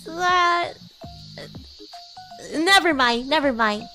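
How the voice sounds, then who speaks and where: A woman's voice: sits high, lively intonation, very slow, pushed and bright, soft background music, pitched above the performer's own voice, heavily acted. A woman voicing a bratty, sweet young demon girl in casual roleplay chat, with hesitant, girlish delivery. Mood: soft, shy. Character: bratty, sweet young female demon classmate from another world. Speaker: VTuber streamer.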